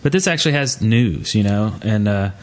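A man hosting a casual gaming podcast, talking in a halting, rambling way. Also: on the low side, lively intonation. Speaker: podcast host.

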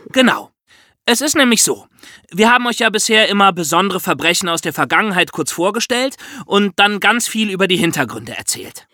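A man co-hosting a podcast in a lively, conversational style, telling things in a chatty, colloquial way. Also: high voice, a little fast, no echo, clean studio sound. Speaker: podcast co-host.

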